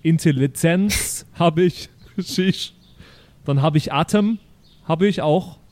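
A man plays a naive, chatty son from the future in an improvised radio play. His voice is on the high side and soft-edged, and he talks in childlike slang with odd verbal tics, earnest and slow on the uptake. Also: no echo.

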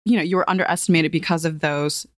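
Woman, podcast host, lowish voice, animated and effusive, dry close sound. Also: no echo. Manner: animated, effusive, quick to laugh. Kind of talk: casual interview conversation.

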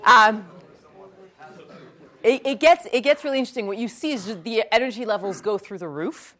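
A woman's voice: a little high, moderate pace, a bit bright. A woman whose first language is French, answering audience questions at a talk and repeating each question before she answers. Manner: articulate, frequent ums. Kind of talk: lecture Q&A.

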